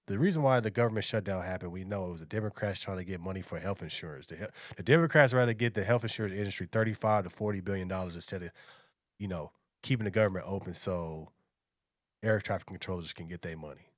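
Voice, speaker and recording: A man's voice: sits low, fast, no room sound, clean studio sound. An American man giving casual, opinionated commentary as a reaction video host, in slangy, conversational speech. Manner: colloquial, slangy, conversational delivery.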